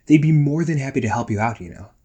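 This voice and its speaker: A man's voice: mid-range voice, fast, dark tone, lightly acted. A man playing a playful, nagging young ghost brother in a casual roleplay audio drama, teasing and chatty. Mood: playful concern. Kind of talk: casual one-sided roleplay audio acting.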